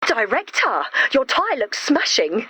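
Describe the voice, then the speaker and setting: High-voiced chirpy young builder. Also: female voice, wildly swooping pitch, measured pace, bright, forceful tone, a little hiss or hum, heavily acted, poor audio. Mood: cheerful. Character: chirpy, confident, quirky young female builder. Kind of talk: video game character dialogue.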